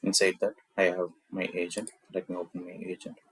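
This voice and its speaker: A deep-voiced man with a South Indian accent, whose first language is Tamil, presents a software walkthrough. His intonation stays quite flat as he explains each step. The sound is very dry, with little room in it. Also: a little fast, breathy edge, no echo. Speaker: tutorial presenter.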